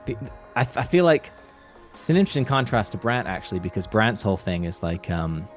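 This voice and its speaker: A man speaking as a voice actor guest in a casual interview, halting and thinking aloud as he goes. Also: fairly low pitch, sing-song, faint music behind.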